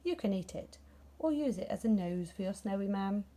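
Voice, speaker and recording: Storybook rabbit, male played by a woman: gloomy, haughty expert, stern and lecturing, with lively pitch swings. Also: normal speed, lightly acted. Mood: brusque. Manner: self-important, lecturing, severe. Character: grumpy, gloomy, pompous know-it-all male rabbit. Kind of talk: children's storybook character.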